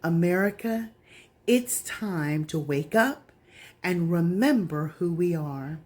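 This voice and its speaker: A woman giving an earnest, deliberate reading of an open letter, appealing directly and with feeling to the listener. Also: on the low side, animated, a little mellow.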